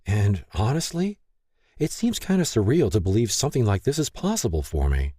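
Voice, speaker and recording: A man's voice: lowish voice, big pitch swings, normal speed, mellow, husky, no room sound, studio quality, voice pushed up. A man voicing a pleading, distraught young woman. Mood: doubtful. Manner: pleading, anguished questioning. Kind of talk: audiobook character reading.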